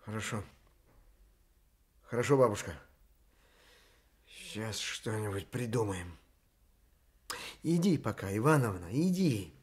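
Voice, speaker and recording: A bitter veteran father in a Soviet radio play. He is hard-edged and calculating. His delivery is slow, with big swings in pitch. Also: lively intonation. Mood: uneasy.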